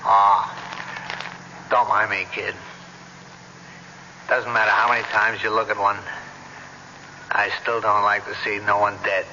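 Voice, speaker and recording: An actor playing a gruff, world-weary police sergeant in a radio drama, brusque, wisecracking and bossy. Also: male voice, on the low side, level tone, takes it slowly, long pauses, pushed and bright, full character voice. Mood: weary, softened. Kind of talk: 1970s radio drama acting. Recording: dull, noisy, reverberant 1970s radio recording.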